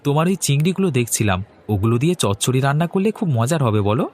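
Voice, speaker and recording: A man's voice: mid-range voice, big pitch swings, very fast, darkish tone. A man playing a meek, good-natured orphaned servant youth in a cartoon, timid, earnest and eager to please. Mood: friendly. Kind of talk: Bengali cartoon voice acting.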